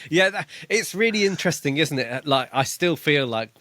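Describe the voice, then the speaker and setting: A man's voice: fairly high pitch, expressive, normal speed, a bit bright, no room sound. A man co-hosting a podcast, casually discussing a book in a chatty, jokey way. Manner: chatty, jokey, prone to laughing. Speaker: podcast co-host.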